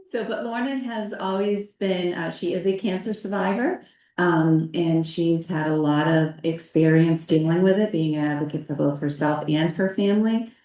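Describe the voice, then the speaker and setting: A woman introducing a guest speaker at a public meeting in an informal, slightly halting way. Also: on the low side, fairly even intonation, warm tone. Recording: distant, echoey room mic.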